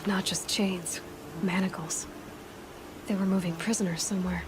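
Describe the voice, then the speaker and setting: A woman's voice: fairly low pitch, steady tone, moderate pace, background noise, lightly acted. An actress playing a young woman hunter in a video game, observant and determined. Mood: focused, wary.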